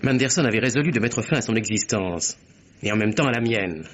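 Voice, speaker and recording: A muffled vintage radio recording carries a young secretary giving his account. The voice is low and rough-edged. He speaks precisely and theatrically, composed as he explains himself. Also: lively intonation. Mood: tense, grave. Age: young adult. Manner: theatrical, precise, deliberate storytelling. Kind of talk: vintage French radio mystery acting.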